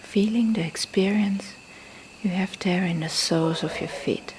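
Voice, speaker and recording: A woman who is a non-native English speaker leads a guided meditation as a teacher, speaking softly and breathily, often near a whisper, in gentle, deliberate short phrases with pauses. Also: mid-range voice. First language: not a native English speaker. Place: temple in Thailand. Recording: faint background noise, live field recording.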